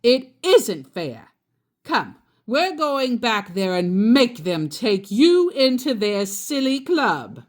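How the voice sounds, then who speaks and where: A woman's voice: a little high, sing-song, slow pace, voice pushed up. A woman voicing an indignant, protective father bear in a storybook, with emphatic, blustering delivery.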